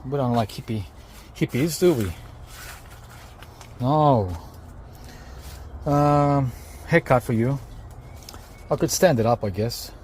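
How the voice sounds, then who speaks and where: A man's voice: medium pitch, expressive, takes it slowly, pauses often, darkish tone, slight background noise. A man whose first language is Greek, hosting a gardening vlog outdoors, tired after digging, explaining each step and calling viewers guys. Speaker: gardening channel host. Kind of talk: casual vlog talk to camera.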